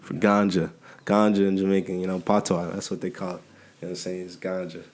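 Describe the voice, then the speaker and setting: A man co-hosting a podcast, relaxed and conversational, often checking in with the listener. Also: low voice, quick pace. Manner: laid-back, keeps asking "you know what I'm saying". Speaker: podcast co-host. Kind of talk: casual chat.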